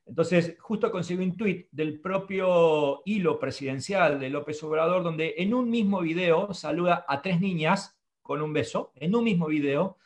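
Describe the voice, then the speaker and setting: A man's voice: fairly high pitch, unhurried, few pauses. A middle-aged man moderating an online academic panel over a video call, speaking formally in long, winding sentences. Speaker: webinar moderator. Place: book-lined room indoors.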